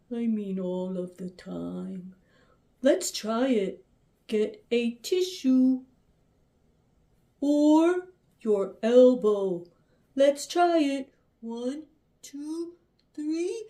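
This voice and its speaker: A friendly, forgetful Papa Bear speaks slowly and gently to small children, like a simple lesson. A woman plays him. The voice is high and lively, with a careful pace. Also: heavily acted. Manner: slow, gentle, instructive.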